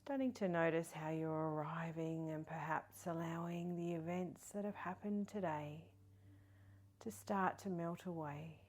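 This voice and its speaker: A woman leading a guided meditation in a soft, breathy, partly whispery voice, soothing and gentle with long pauses. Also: sits low, steady tone. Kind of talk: guided body scan meditation.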